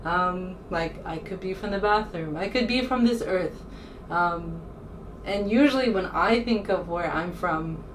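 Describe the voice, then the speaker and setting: A woman from the United States talking casually as a vlogger, rambling informally and hesitantly as she thinks aloud. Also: lowish voice. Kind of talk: casual rambling vlog talk to camera. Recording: distant mic with faint room noise.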